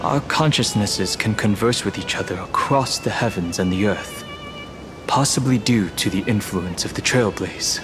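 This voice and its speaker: Casting: a man voicing a composed young game hero, low and steady, score behind. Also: natural voice. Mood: calm. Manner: measured, composed, matter-of-fact. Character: calm, reserved young man, steady and serious. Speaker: game character. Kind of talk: game cutscene voice acting. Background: orchestral music.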